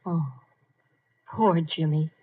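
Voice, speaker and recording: An actress playing an earnest, soft-hearted young woman in a 1950 radio mystery drama. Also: low voice, takes it slowly, husky, exaggerated voice. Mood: subdued. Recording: muffled old 1950 radio broadcast.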